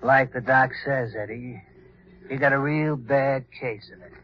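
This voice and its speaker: An actor playing a thuggish, mocking accomplice in an old radio crime drama, slangy and sneering. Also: male voice, medium pitch, steady tone, unhurried, slight background noise, lightly acted. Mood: sneering threat. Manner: sneering, slangy, mock-sympathetic. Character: mocking, thuggish armed accomplice of crooked doctor. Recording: dull lo-fi old radio recording.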